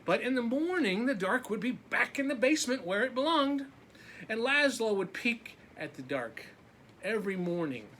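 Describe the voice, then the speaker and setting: A man's voice: very high voice, very animated, moderate pace, bright, forceful tone, slight background noise. A man reading a picture book aloud as a teacher, with simple asides to his young listeners.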